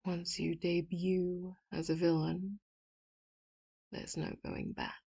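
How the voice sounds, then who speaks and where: A possessive female villain, voiced by a woman for an audio roleplay, talking close and soft with sweet manipulation. Her voice sits low and slow, with a gravelly fry and a husky edge. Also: little pitch movement, dull audio, full character voice. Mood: coaxing. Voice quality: soft and intimate, often whispered. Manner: sweetly manipulative, with quiet laughs. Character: possessive, doting female villain, calm and menacing. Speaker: ASMR roleplay voice actor. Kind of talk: audio roleplay, addressing the listener.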